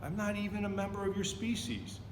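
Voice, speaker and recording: A man's voice: high-pitched, animated, a little fast, pitched above the performer's own voice. A man voicing a sarcastic, bossy female crow in a children's storybook. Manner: commanding, sardonic. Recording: echoey, reverberant room with noticeable noise.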